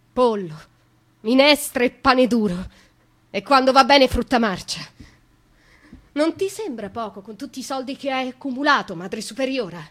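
An actress playing an imprisoned young noblewoman in a theatrical radio monologue, speaking intimately and dramatically with deliberate pauses. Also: a little high, a bit bright. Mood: bitter, accusing. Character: imprisoned young noblewoman, wounded, confiding, bitterly defiant. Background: music.

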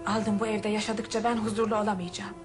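A woman's voice: mid-range voice, flat delivery, quick pace, over music, close to an everyday voice. A woman acting in a radio play as a composed, resolute, suspicious daughter of a wealthy household, speaking in a firm, formal way. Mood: cool, accusing.

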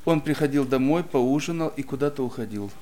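A man preaching and telling a personal story in short phrases, pausing after each so it can be interpreted. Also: medium pitch, dark tone. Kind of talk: sermon testimony, interpreted line by line.

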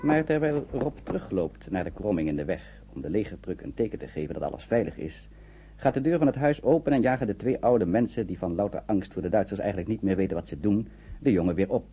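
A man's voice: fairly low pitch, expressive, quick pace, slightly rough, narrow, tinny audio. A man narrating a radio drama as a storyteller, in scripted, dramatic prose, on an old radio recording. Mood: grave, dramatic. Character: storyteller narrating a tense wartime journey.

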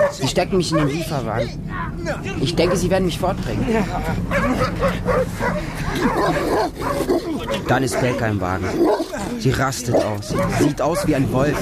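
An actor playing a frightened, devoted street child who lives with dogs, telling the story in short, simple sentences for a radio drama. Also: male voice, a little high, heavy background noise, natural voice. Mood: agitated, fearful. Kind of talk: first-person radio drama monologue. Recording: music under the voice. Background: music.

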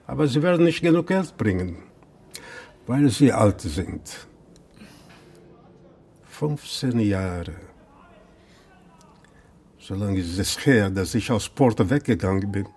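An actor playing a bitter, weary shopkeeper from Portugal in a naturalistic radio drama, with a husky voice. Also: male voice, fairly low pitch, expressive, slow pace, long pauses, a little mellow, full character voice. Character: bitter, weary Portuguese male shopkeeper who lost his shop.

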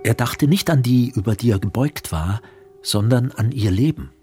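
A man narrating a literary radio drama in a measured storytelling manner. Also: medium pitch, animated. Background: instrumental music.

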